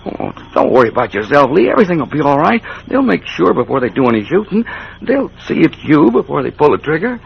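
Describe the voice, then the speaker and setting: Selfish younger cowboy, urgent, pleading, gravelly edge; dull vintage radio drama audio. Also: male voice, fairly high pitch, big pitch swings, quick pace. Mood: scheming, urgent. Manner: urgent, wheedling. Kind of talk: 1950s radio western acting. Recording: muffled, faintly noisy old radio recording.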